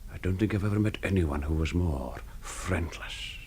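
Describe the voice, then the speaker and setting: An actor playing a cold, menacing older master of a house in a radio drama, speaking in a measured, formal, condescending way. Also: male voice, sits low, a little mellow, breathy edge. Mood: quiet menace.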